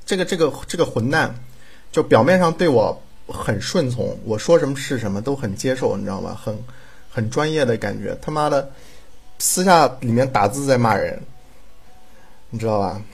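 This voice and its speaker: A man's voice: medium pitch, expressive, normal speed, gravelly, husky. A man chatting casually as a drama director, rambling and repeating himself for emphasis. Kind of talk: casual chat.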